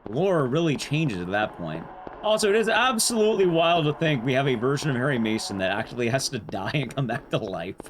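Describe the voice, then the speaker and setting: Animated man rambling through a game stream. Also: mid-range voice, fairly quick, slight background noise. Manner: rambling, chatty, jokey asides. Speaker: streamer speedrunning a horror game. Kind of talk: casual live game commentary.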